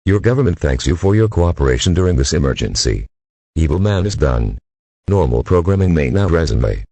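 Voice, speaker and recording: A man's voice: rumbling low, sing-song, fairly quick, mellow, husky. A man giving terse, scripted official emergency announcements.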